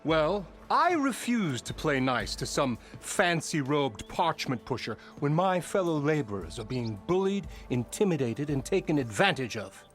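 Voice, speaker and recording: An actor playing a pompous, self-important labour boss in a fantasy video game, bombastic, theatrical and mock-courteous in manner. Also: male voice, a little high, sing-song, measured pace, few pauses, soft background music. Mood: indignant, boastful. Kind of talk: video game character acting.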